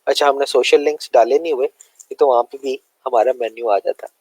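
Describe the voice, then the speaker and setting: A man's voice: mid-range voice, fairly even intonation, brisk, darkish tone, husky, no room sound, low-quality recording. Man teaching with a sore throat. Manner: loose, conversational teaching with many fillers. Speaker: web development tutor. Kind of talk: live screen-share coding lesson.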